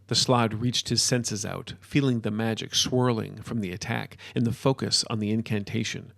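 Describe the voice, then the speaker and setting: A man's voice: on the low side, fairly even intonation, moderate pace, creaky, a bit bright. A man reading scripted narration as the narrator of an audio story.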